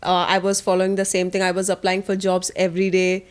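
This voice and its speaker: Young woman, mid-pitched voice, casual podcast co-host, candid, teasing, informal. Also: monotone, fast, clean tone. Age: young adult.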